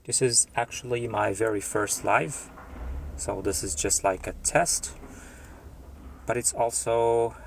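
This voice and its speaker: A man from Morocco, an English tutor chatting live with his viewers, unhurried and pausing often with fillers. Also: medium pitch, fairly even intonation, vocal fry, slight background noise. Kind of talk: live stream chat with viewers.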